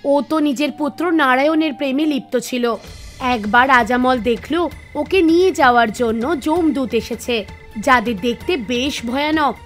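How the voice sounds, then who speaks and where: High-pitched woman storyteller over music. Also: unhurried. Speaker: narrator. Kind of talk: scripted storytelling narration for an animated devotional tale. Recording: music bed under the voice. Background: background music.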